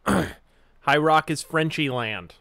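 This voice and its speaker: A man's voice: on the high side, very slow, vocal fry, a bit bright, no echo, clean studio sound. A man giving sarcastic, crude running commentary while playing a video game. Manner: sarcastic, snarky, crude jokes. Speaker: let's play host.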